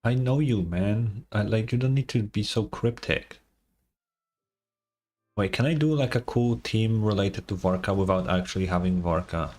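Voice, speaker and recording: A man's voice: fairly low pitch, moderate pace, darkish tone. A man doing casual gaming livestream commentary in a sarcastic, mock-exasperated way, swearing freely. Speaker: streamer.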